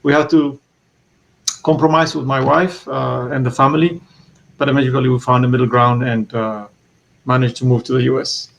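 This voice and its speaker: A man from Eritrea recounts his family's past in an interview. His voice has a rough, gravelly edge at a middling pitch. He speaks haltingly, often restarting and repeating words. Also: steady tone, fairly bright tone, slight background noise, poor audio. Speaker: author being interviewed. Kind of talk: reflective storytelling.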